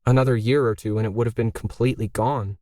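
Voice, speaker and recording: A man voicing an earnest woman character who explains things calmly in a story reading. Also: fairly low pitch, fast, no echo, studio quality, natural voice.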